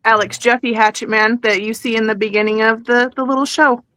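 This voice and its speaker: A woman's voice: on the high side, fairly even intonation, fairly quick, sharp tone, no room sound. A woman guest chatting casually about her small business, slangy and sweary. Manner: slangy, profane. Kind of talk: casual chat, plugs and shout-outs.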